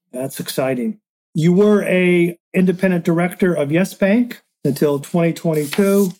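A man's voice: on the high side, warm tone. A man hosting a podcast, introducing and interviewing a guest. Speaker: podcast host.

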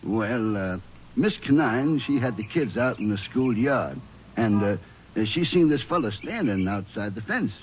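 An actor playing a folksy, nervous small-town Texas marshal with a rural Southern accent, rambling and hesitant, in 1950s radio drama style. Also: male voice, on the low side, expressive, measured pace. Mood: worried, talkative. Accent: rural American Southern. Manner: drawling, rambling, hesitant with uhs. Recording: dull old radio recording, faint hiss.

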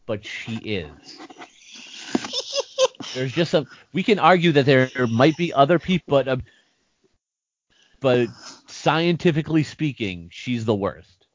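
A man co-hosting a podcast in casual chat, joking, animated, often restarting his sentences. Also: medium pitch, takes it slowly, pauses often. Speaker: podcast co-host. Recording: dry, dull lo-fi sound.

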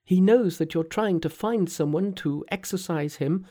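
A man's voice: high voice, moderate pace, dark tone, voice pushed up. A man reading a storybook aloud and voicing a frail, frightened young woman in a raised, faint voice. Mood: timid. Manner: faint, trembling, pleading.

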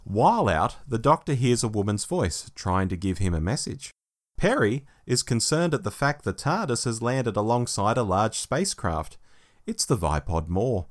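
A man hosting a podcast, narrating a scripted plot summary and reading out headings with deliberate emphasis. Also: on the low side, big pitch swings. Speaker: podcast host.

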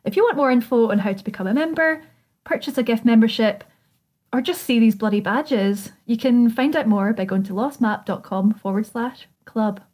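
Wry female podcast co-host, slightly high voice, reads promo copy with a teasing edge. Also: moderate pace, a little mellow. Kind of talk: reading promo copy with jokey chat.

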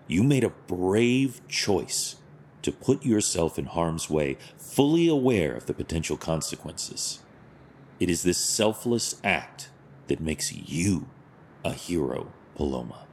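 An actor playing a stern but compassionate masked vigilante hero in an audio drama, speaking in a measured, formal, solemn way. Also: male voice, deep voice, very animated, darkish tone, a little hiss or hum, heavily acted. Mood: solemn, earnest.

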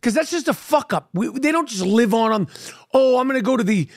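A man's voice: extremely high pitch, lively intonation, brisk, no room sound, clean studio sound. Brash profane man in his fifties. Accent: New York. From New York. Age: 50s. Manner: brash, profane, mock-demanding. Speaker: podcast host. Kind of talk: casual podcast banter.

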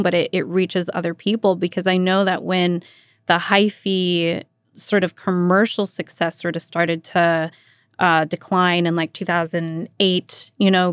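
A woman's voice: fairly low pitch, no room sound, studio quality. An American woman hosting a radio interview, asking questions conversationally and often throwing in "like" as she talks.

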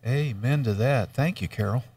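Gravelly-voiced male co-host, relaxed. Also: medium pitch, normal speed, dry recording, distant mic. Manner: relaxed, joking, with many fillers. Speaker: radio show co-host. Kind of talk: casual talk show chat.

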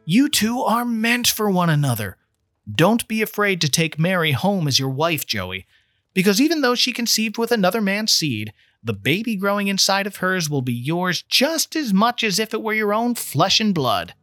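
A man's voice: high voice, sing-song, moderate pace, a bit bright, dry recording, clean studio sound, soft background music, pitched above the performer's own voice. A man voicing an earnest, impatient trainee guardian angel who explains things with mild condescension in a storybook reading. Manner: explanatory, mildly condescending toward humans.

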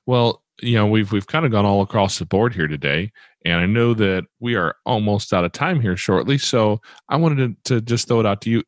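Deep-voiced Midwestern man hosting. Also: dry recording, clean studio sound. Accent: Midwestern American. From American Midwest. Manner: rambling, restarts often. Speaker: podcast host. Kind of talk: casual interview chat.

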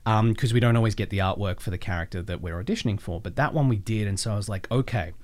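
A man speaking as a voice actor guest in a casual interview, telling anecdotes in an animated, rambling, enthusiastic way. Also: lowish voice, fast.

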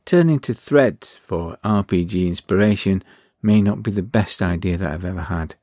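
A middle-aged man reading a wry, relaxed podcast outro as host. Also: low-pitched, normal speed, no room sound, studio quality.

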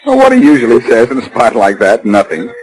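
A man's voice: medium pitch, huge pitch swings, a little fast, darkish tone, rough recording. A man acting a bold, sardonic amateur detective in a 1940s radio drama, on a vintage broadcast recording. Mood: light, dry. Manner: sardonic, taunting. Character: bold, wisecracking male amateur detective, unafraid of guns. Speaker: lead actor in detective serial.

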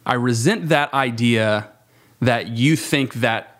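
A man in his thirties speaks in a reflective, conversational way at a middling pitch. His phrasing is halting and thoughtful, and he often corrects himself as he goes. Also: fairly bright tone. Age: 30s. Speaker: youth ministry worker. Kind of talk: reflective panel discussion.

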